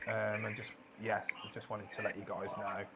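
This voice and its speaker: Hesitant deadpan man, noisy recording. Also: fairly low pitch, normal speed. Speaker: prank caller. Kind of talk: casual phone prank chat. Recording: noisy phone prank call recording.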